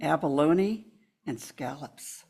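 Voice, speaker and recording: Woman in her seventies, low voice, slow, warm poem reading at a church pulpit. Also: creaky. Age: 70s. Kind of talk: reading a personal poem aloud. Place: church sanctuary.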